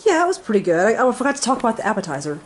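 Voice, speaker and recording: A woman chatting casually in a home vlog, explaining things in a relaxed, offhand way. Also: fairly high pitch, rapid-fire, background noise. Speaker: vlogger. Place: house, indoors.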